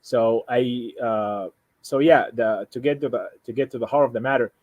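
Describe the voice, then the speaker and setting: Halting Sudanese Arabic-accented man, dry sound. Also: mid-range voice, a little mellow, no echo. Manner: halting, repeats and rephrases, frequent "you know". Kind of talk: casual conversation, explaining personal plans.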